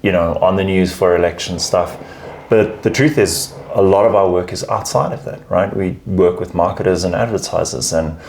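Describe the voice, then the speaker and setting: Man, very low pitch, repeats words, casual interview talk. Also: darkish tone. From South Africa. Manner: emphatic, repeats words while finding phrasing. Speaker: guest, advertising research lead.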